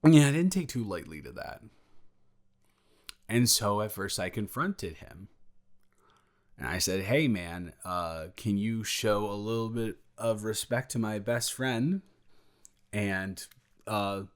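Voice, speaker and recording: A man playing a sheepish, protective best friend in an improvised audio roleplay, talking in a halting, rambling, awkwardly candid way. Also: on the low side, expressive. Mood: tense, indignant. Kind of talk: improvised audio roleplay, intimate conversational monologue.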